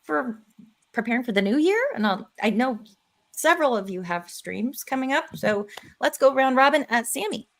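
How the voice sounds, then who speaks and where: A woman with a high, very animated voice hosts a casual group livestream. She chats in a playful, bubbly way, swinging freely in pitch as she talks to her guests. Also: moderate pace, vocal fry. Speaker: host of a livestream.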